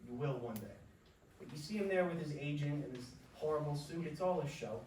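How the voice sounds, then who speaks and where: A man's voice: on the high side, lively intonation, fairly quick, darkish tone, close to an everyday voice. A man playing a proud, scrappy working-class father, blunt and colloquial, in a staged play reading. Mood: gruff, encouraging. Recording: roomy stage, distant, faint noise.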